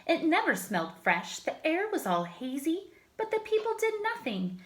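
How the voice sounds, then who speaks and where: Female children's story reader, expressive and emphatic, highish bright voice. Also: normal speed. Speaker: teacher reading a picture book aloud. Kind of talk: children's story read-aloud.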